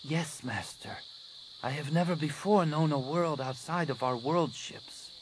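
A man voicing a young female alien apprentice who is deferential and careful but quietly eager, in a narrated story. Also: a little high, unhurried, voice pushed up, lightly acted. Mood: respectful. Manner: deferential, cautious. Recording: clearly noisy recording.

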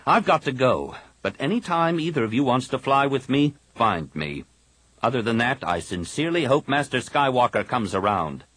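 A man voicing a defiant young male Jedi pilot, with an insistent, forceful delivery. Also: mid-range voice, big pitch swings, moderate pace, breathy edge, voice pushed up, rough recording. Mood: resolute.

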